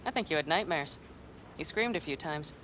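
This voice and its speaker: A blunt desert nomad, dry and matter-of-fact, a bit low, faint noise behind, game dialogue. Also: female voice, animated, moderate pace, fairly bright tone. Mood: calm, assured. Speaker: game character.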